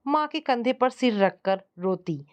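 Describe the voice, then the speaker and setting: A woman's voice: a little high, expressive, unhurried. Woman narrating a scripted domestic story.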